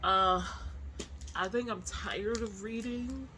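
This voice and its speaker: A middle-aged vlogger chats in an unhurried, candid way, as in a personal video diary. The pitch sits in a middle range and the wording is loose and informal. Clear ambient noise runs behind the voice. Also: pushed and bright, rough recording. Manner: candid, informal, swears casually. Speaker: book vlogger. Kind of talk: casual chatty video diary.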